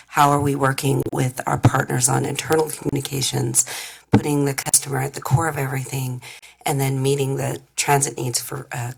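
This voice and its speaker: A woman giving a presentation at a board meeting as a communications executive, her voice husky from allergies, talking in a loose way with many fillers. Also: rumbling low, poor audio. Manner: loose, filler-heavy briefing.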